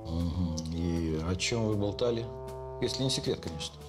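An actor playing a methodical prosecutor's investigator who questions witnesses in a measured, dry, ironic way, in a crime reenactment. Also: male voice, sits low, natural voice. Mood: probing. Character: shrewd, methodical male investigator, calm and probing. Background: background music.

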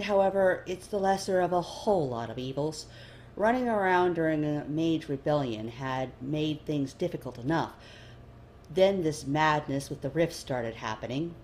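Woman, low voice, audiobook narration, scripted fiction reading. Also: a little mellow, a little hiss or hum. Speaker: fan fiction reader.

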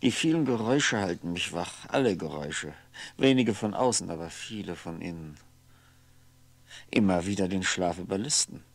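A sleepless, solitary ageing man in an old radio play. His deep voice creaks as he broods, halting and musing drily to himself. He sounds worn out and wry. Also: animated, dry recording, heavily acted. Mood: tired, reflective. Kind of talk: 1960s German radio drama monologue, introspective.

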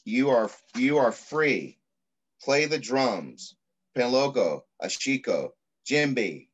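A middle-aged man reading aloud in the style of a children's picture book, with measured, deliberate phrasing. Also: mid-range voice, animated, breathy edge. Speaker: pediatrician reading a story aloud. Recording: muffled video call audio.

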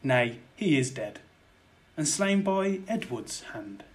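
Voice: medium pitch, very animated, takes it slowly. An actor playing a mockingly sweet-tongued killer in a theatrical verse audio drama. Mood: taunting. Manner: theatrical, taunting.

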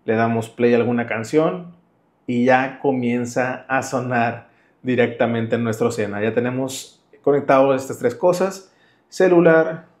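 A man with a Mexican accent presenting a casual step-by-step tutorial, speaking directly to the viewer in an instructional way. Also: mid-range voice. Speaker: motorcycle vlogger and presenter.

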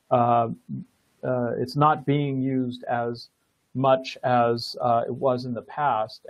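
Host on a video call, middle-pitched, halting and filler-heavy, dry dull line. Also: male voice, no echo. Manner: halting, many fillers and restarts. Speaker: meeting host presenting a program. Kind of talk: online planning meeting presentation.

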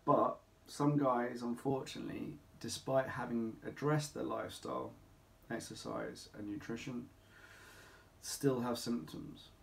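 A middle-aged man speaking as a clinic doctor, explaining to camera in an emphatic, deliberate way with rhetorical questions. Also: mid-range voice, steady tone, gravelly. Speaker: doctor at a men's health clinic. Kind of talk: informal explainer talk to camera. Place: small home office or consulting room, indoors.

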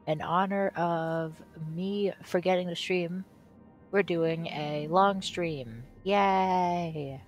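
A woman streaming a video game, chatting casually and reading on-screen text aloud haltingly while she loses her voice. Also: lowish voice. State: losing her voice.

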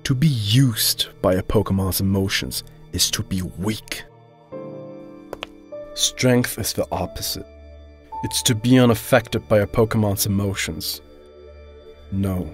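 A man's voice: on the low side, slow pace, long pauses, faint music behind. A man voicing a cold, proud young male rival trainer in a curt, dismissive manner, in dramatic anime style. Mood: cold, scornful.

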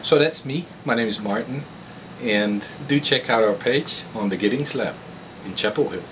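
A man's voice: mid-range voice, normal speed. A man in his thirties from the Netherlands with a Dutch accent, a researcher informally introducing himself to camera in a laboratory. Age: 30s. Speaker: postdoctoral researcher. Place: indoors in a laboratory. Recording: clear steady room noise in lab.

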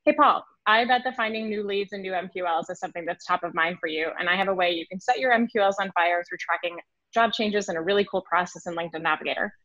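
A woman in her thirties, from Switzerland with French as her first language, talking quickly and animatedly as a guest on a podcast interview over a video call. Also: fairly low pitch, dry recording. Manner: animated, quick, gestures with hands, acts out emails. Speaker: sales consultant guest. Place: home room on video call. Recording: dull, dry video call audio.

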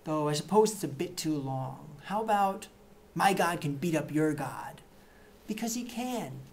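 A middle-aged man reading satirical excerpts to camera in a deadpan, mock-earnest way, in a plain indoor room. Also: a little high, unhurried, a little hiss or hum. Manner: mock-earnest, punchy, with gestures. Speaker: reader of satirical book excerpts.

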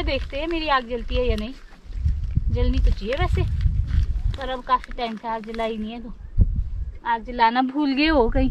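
A woman's voice: high voice, noisy background. A young woman chatting and narrating her vlog outdoors on a sunny hillside, laughing and teasing as she goes. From Himachal Pradesh, India. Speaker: vlogger. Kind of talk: casual vlog narration to camera.

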